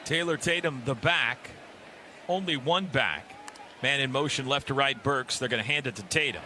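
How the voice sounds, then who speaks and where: A man doing live radio play-by-play of a football game in clipped phrases that build into excited calls. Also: medium pitch, moderate pace. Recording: loud stadium noise behind the broadcast voice. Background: stadium crowd and ambience.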